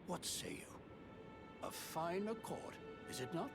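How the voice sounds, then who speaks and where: An actor playing a pompous, high-minded noble heir in a video game, speaking in flowery, old-fashioned courtly phrases. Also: male voice, expressive, slow. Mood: courting, hopeful. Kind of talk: theatrical video game dialogue. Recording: loud music behind the voice. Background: loud game music.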